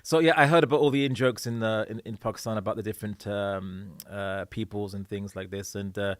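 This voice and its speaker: A man's voice: on the low side, steady tone, brisk, no echo. Hesitant British-based man, dry close sound. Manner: hesitant, many fillers and restarts. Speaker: podcast host. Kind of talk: conversational interview.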